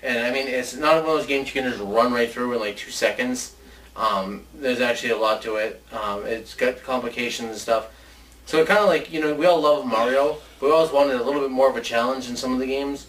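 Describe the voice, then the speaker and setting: A man's voice: mid-range voice, brisk, fairly bright tone. A man co-hosting a casual chat show, sharing ideas in an informal, rambling way. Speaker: co-host of a movie ideas show. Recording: distant mic with faint background noise.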